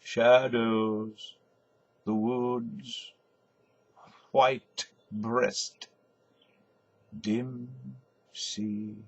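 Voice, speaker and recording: An older man voicing a groggy young poet who murmurs verse thickly with drawn-out vowels in a literary reading. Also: mid-range voice, little pitch movement, breathy edge, heavily acted, low-quality recording. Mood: dazed, dreamy.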